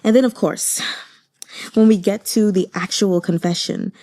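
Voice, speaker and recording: A woman hosting a book channel, giving casual commentary in a gushing, expressive manner. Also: medium pitch, normal speed. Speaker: host of a book reading channel.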